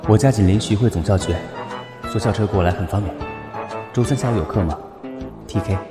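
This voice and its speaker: A composed, reserved male law professor as acted by a man in an audio drama. The voice is fairly low and measured, over a clear music bed. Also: natural voice. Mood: calm, firm.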